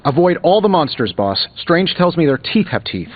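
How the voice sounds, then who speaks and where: An actor playing a cocky billionaire inventor superhero in a video game, glib and sarcastic with playful quips. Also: male voice, fairly high pitch, sing-song, normal speed, highs cut off. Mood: joking. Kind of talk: video game character dialogue, quippy banter. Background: music.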